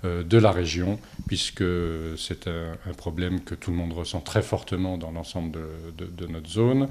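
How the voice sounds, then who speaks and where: A low-pitched man speaks as a local politician making a formal statement. His tone is dark and mellow. He moves in a measured way, often stopping on drawn-out hesitations. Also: steady tone, slight background noise. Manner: hesitant, halting delivery with frequent long filler pauses. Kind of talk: political statement to camera, semi-prepared.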